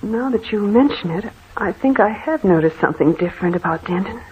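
An actress playing an anxious, solicitous wife in an old radio drama, speaking with earnest, formal diction. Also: female voice, medium pitch, expressive, fairly quick, breathy edge. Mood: uneasy, reassuring. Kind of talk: 1940s radio drama acting. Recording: noisy, tinny old radio recording.

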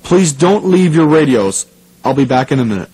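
Man playing husky, shaken radio news host. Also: fairly high pitch, lively intonation, mellow, poor audio. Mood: stunned, grieving. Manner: halting, struggling to stay composed. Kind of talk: radio news broadcast acting.

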